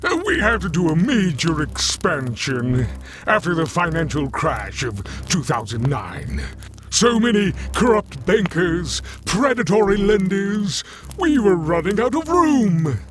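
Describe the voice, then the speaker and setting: A woman playing a smug, chatty Lucifer who runs hell like a bureaucrat, with a drawn-out theatrical delivery, in an audio drama. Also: lowish voice, sing-song, a little hiss or hum. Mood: smug, amused.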